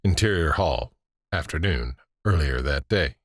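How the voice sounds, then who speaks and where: A man narrating scene directions in a scripted audio drama, grave and measured. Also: extremely low pitch, expressive, no echo, clean studio sound, heavily acted.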